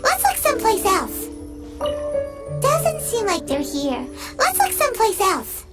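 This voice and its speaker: A woman voicing a tiny, excitable childlike fairy companion in a video game, squeaky and eager. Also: a little slow, loud music behind the voice, heavily acted. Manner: speaks of herself in third person, eager.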